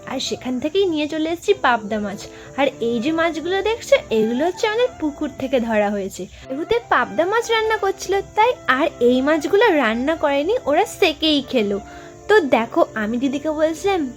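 A young woman narrating a casual family vlog, chatting directly to her viewers. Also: sits high. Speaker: vlogger.

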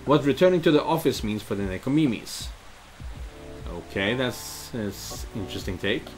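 A man in his thirties giving casual, halting let's play commentary and reading game text aloud. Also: medium pitch, expressive. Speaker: game streamer. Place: indoor room at home. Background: game music and rain sounds.

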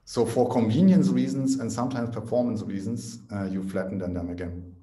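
A man's voice: lowish voice, fairly quick, warm tone. A man in his forties speaking at a remote conference Q&A. He explains in a casual, technical way, often adds "right?", and restarts his sentences, talking into a headset at home. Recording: echoey room.

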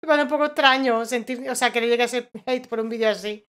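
A woman's voice: fairly high pitch, fast talker, fairly bright tone. A young woman with a Spanish accent from Spain, co-hosting a reaction video in casual, chatty, enthusiastic talk. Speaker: reaction channel co-host. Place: home room with shelves and lights.

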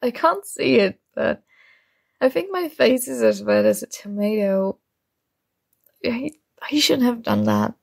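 A woman's voice: fairly high pitch, very animated, measured pace, gravelly, pauses often, no room sound, studio quality, heavily acted. A woman playing a shy, nerdy young classmate in a romantic ASMR roleplay, flustered and smitten, speaking in a hesitant, awkward way. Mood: flustered, embarrassed.

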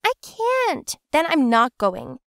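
A woman voicing an insecure young woman who pleads and turns bitter, in a high, emotional voice. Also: huge pitch swings, slow pace, gravelly, fairly bright tone, no echo, studio quality, heavily acted. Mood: pleading.

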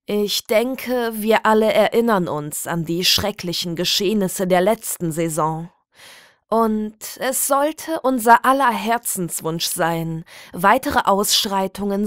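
A woman reading a scripted satirical story as an official public notice, in a formal, measured, deadpan bureaucratic manner. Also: mid-range voice, no room sound, studio quality. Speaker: narrator of a satirical audio story.

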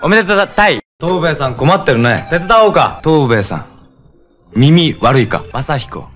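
An actor playing an earnest young jungle-raised hero in a 1970s Japanese superhero TV drama, speaking intensely in terse, halting, broken sentences. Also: male voice, on the high side, very animated, a bit bright.

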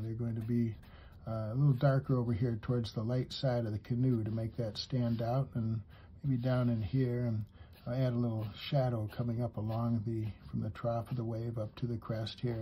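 A man giving an unhurried, step-by-step drawing lesson for kids, talking as he draws and pausing mid-sentence, with a husky voice. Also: medium pitch, mellow, slight background noise. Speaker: drawing teacher.

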